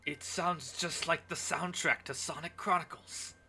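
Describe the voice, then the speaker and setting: A man's voice: on the high side, measured pace, creaky, bright, forceful tone, slightly rough. A man in casual, joking livestream chat with friends.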